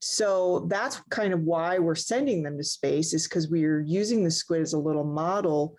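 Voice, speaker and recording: A mature woman with a low voice talks as a research scientist being interviewed. She lays out her work articulately, in plain everyday terms. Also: moderate pace, a little mellow. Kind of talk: explanatory interview talk.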